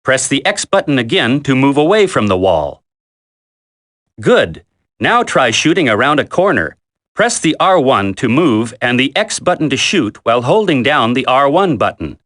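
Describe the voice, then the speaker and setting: An actor playing a military instructor in a video game tutorial, coaching a recruit in a firm, deliberate, commanding way. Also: male voice, mid-range voice, big pitch swings, a bit bright. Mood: instructive, firm.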